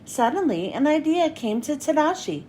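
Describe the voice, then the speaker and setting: A woman reading a children's storybook aloud in an expressive story-time manner. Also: high-pitched, normal speed, a little hiss or hum. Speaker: children's read-aloud host. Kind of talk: animated storybook narration.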